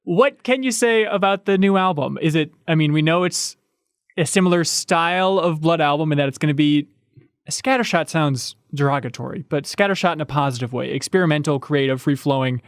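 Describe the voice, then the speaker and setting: A man's voice: fairly high pitch, fairly quick, no room sound, clean studio sound. A man hosting a podcast, asking loose, joking questions with plenty of fillers. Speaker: podcast host. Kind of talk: casual interview questions.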